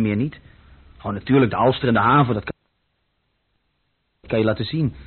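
Husky troubled young man, plainly telling his story. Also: mid-range voice. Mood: matter-of-fact, reflective. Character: troubled young German man recounting his past, plain-spoken, fearful. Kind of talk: radio play first-person narration. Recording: dull lo-fi old radio play recording.

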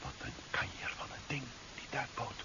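An actor playing a bold, determined adventurer in a 1970s science fiction radio drama, speaking briskly and urgently. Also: male voice, whispered, close to an everyday voice. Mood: hushed, tense. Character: bold, determined male adventurer in a sci-fi serial. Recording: dull, noisy 1970s radio play recording.

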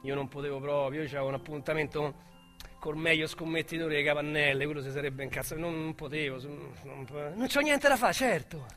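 An actor with a Roman accent playing a small-time male crook who confides his past in a radio drama, speaking in a wistful, halting way. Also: on the high side, fairly bright tone, faint music behind. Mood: flustered. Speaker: narrator-protagonist.

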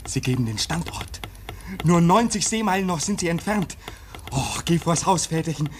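An actress playing a young, yearning, impatient person at a remote station in a German radio play, speaking in urgent exclamations. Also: female voice, on the low side, lively intonation, moderate pace, natural voice. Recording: radio play with background noise.

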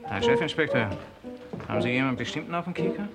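An actor playing a blustering, slangy bar owner who is slyly servile to the police in a radio crime drama. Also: male voice, fairly high pitch, huge pitch swings, fast, gravelly, loud music behind the voice, full character voice. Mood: wary, obliging.

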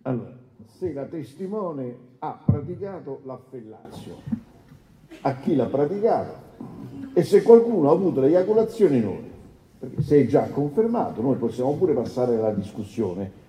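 An actor playing an insistent, pedantic defence lawyer in a courtroom drama, formal in manner. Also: male voice, mid-range voice, big pitch swings, normal speed, warm tone, lightly acted. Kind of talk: courtroom docudrama acting. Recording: distant, echoey large hall.

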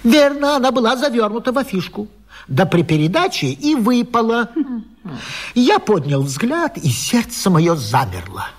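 An actor in a radio vaudeville playing a flustered, jealous 19th-century gentleman, stammering and agitated in a theatrical way. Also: very high-pitched, wildly swooping pitch, normal speed, heavily acted. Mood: agitated storytelling.

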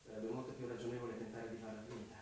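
A man's voice: lowish voice, level tone, rapid-fire, background noise, lightly acted. Man acting tormented young man in cavernous echo. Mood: anguished, furious. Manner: impassioned, insistent, theatrical. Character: intense tormented young man pleading with a woman. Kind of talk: Italian radio drama acting. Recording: reverberant, distant mic, music bed.

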